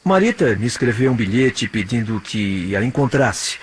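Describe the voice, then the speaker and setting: A man's voice: mid-range voice, very animated, normal speed, slight background noise, natural voice. A man playing a cynical, heartless young man in an audio drama, casual and mocking. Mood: smug, casual.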